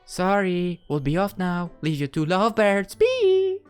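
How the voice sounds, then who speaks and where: A woman's voice: mid-range voice, wildly swooping pitch, a little slow, darkish tone, clear, resonant tone, soft background music. A woman voicing a composed, confident, teasing schoolgirl in a visual novel game.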